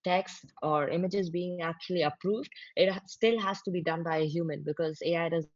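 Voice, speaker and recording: Low-voiced woman guest, dry dull audio. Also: fairly even intonation, normal speed, no echo. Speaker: interview guest, business founder. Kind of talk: conversational interview answers. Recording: slightly dull online-call audio.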